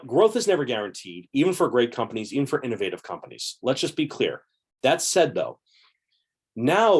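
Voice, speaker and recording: A man in his forties hosting an investing channel, casually explaining to a webcam from a home room in an upbeat, chatty way, a little hoarse. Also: mid-range voice, darkish tone. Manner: upbeat, chatty, gesturing with both hands. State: slightly hoarse, clearing throat.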